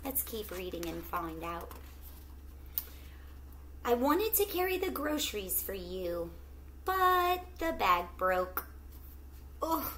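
A middle-aged woman reads a children's book like a teacher in front of a class. She takes her time, stretching words expressively and putting questions to the kids. Her pitch is average, and there is light background noise from a home webcam recording. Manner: expressive, drawn-out storytelling with gentle questions to children. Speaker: teacher reading aloud to children. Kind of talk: children's picture book read-aloud with asides to young listeners. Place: small indoor room at home with plants.

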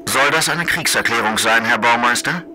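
Sharp, menacing federal prosecutor. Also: male voice, mid-range voice, normal speed, slight background noise. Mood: stern, lecturing. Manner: officious, admonishing, formal. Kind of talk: German radio play acting.

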